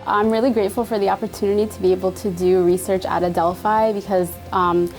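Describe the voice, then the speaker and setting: Young woman, student researcher, medium pitch, casual testimonial, faint background music. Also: fairly even intonation, fast, darkish tone. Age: young adult.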